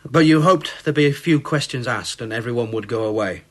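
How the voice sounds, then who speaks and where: An actor playing a skeptical police inspector in a radio play, clipped and dismissive. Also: male voice, medium pitch, a little fast, lightly acted. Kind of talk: radio drama acting.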